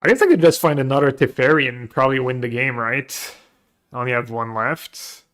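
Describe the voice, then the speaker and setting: Bright-voiced man streaming a card game. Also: medium pitch, brisk. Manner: thinking aloud, planning plays; chatty and casual with viewers. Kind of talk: live gameplay commentary, reading chat.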